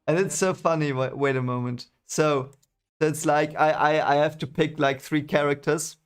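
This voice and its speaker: A man's voice: a little high, fairly even intonation, normal speed, clean tone. A man hosting a livestream, commenting casually on an old video in a repetitive, easily amused way. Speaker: livestream host.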